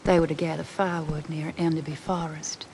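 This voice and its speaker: A woman's voice: low voice, flat delivery, fairly quick, a little mellow, slight background noise. Serene, pious cult elder woman. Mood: solemn, persuasive. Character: serene, pious, manipulative middle-aged female cult elder. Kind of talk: scripted game cutscene acting.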